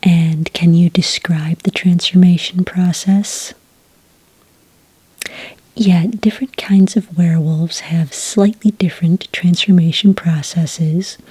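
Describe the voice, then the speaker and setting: A woman speaking in a whispery, breathy soft voice, calm and methodical, as an agent in an ASMR roleplay, close to the microphone. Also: lowish voice, no room sound. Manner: calm, methodical questioning with gentle pauses. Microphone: close microphone in a dry room.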